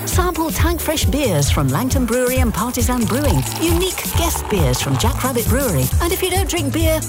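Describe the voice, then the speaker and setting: A woman's voice: high voice, very animated, brisk. A woman voicing a scripted radio advert in an upbeat, selling tone. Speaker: advert voice-over. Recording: music bed behind voice.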